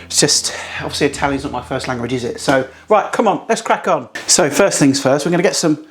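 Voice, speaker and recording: A man's voice: on the high side, fairly quick, slight background noise. A man hosting a casual cooking tutorial, chatty and blunt, swearing now and then. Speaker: cooking channel host.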